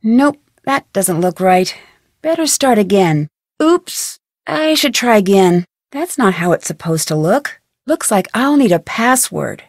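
An actress playing a plucky, curious young detective who perkily talks to herself in a video game. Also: female voice, mid-range voice, animated, measured pace, no room sound, studio quality. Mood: matter-of-fact. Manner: perky, crisp, self-talking. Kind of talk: video game character lines.

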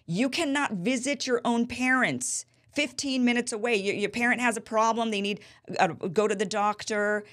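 A woman in her thirties hosting a political interview show, telling stories with animated gestures in a small studio. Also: a little high, moderate pace. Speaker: host. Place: small studio with a bright backdrop. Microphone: studio mic on a boom.

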